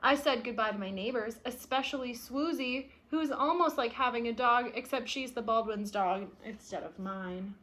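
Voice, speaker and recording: A woman reading a children's picture book aloud expressively, with soft explanatory asides for young listeners. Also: a little high, normal speed, few pauses. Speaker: read-aloud storyteller.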